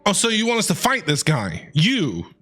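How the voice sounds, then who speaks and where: A man's voice: high voice, huge pitch swings, moderate pace, pushed and bright, faint music behind. A man casually reacting to a game as a streamer, chatty and jokey. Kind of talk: casual live reaction commentary.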